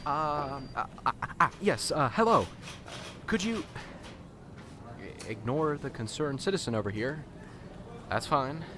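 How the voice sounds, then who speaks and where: A nervous, unsure professor, acted by a man, trips over his words and hesitates constantly. The pitch leaps around animatedly. A faint hiss sits under this amateur radio drama. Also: expressive, measured pace, pauses often. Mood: jittery. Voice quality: stammering, hesitant, fumbling for words. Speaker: professor bringing a lead.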